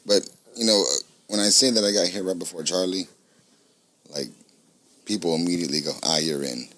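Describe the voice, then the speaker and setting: A man's voice: deep voice, fairly quick, fairly bright tone. A man from Texas co-hosting a comedy podcast, telling stories with lots of swearing and jokes. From San Antonio, Texas. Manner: profane, joking storyteller. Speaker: podcast co-host. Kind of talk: casual storytelling.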